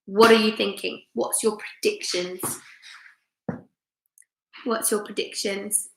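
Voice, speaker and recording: A woman's voice: medium pitch, takes it slowly, vocal fry. A woman who works as a teacher, reading a story aloud on a live stream and chatting expressively with her young listeners. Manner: expressive, asks listeners questions. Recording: roomy, echoey room sound.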